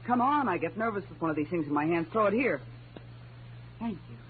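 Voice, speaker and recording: Actress as a sardonic, animated femme fatale. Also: female voice, fairly low pitch, fairly quick, over a noisy crowd, natural voice. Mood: coolly menacing. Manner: sardonic, coy. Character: cool, treacherous femme fatale. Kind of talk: 1940s radio crime drama acting. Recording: muffled old radio recording with hum.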